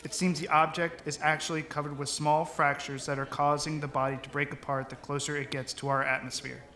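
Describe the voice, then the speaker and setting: Stiff evasive govt secretary at a press briefing, halting, sharp bright voice, echoey room. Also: male voice, fairly high pitch, flat delivery. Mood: guarded. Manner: formal, guarded, halting. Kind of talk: radio drama press briefing.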